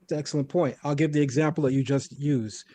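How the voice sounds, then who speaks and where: Measured lecturing man, mellow dry voice. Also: on the high side, fairly even intonation, no echo. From Caribbean home. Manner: lecturing, methodical, rhetorical "right?". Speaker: guest debater. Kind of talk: explanatory debate on Hebrew.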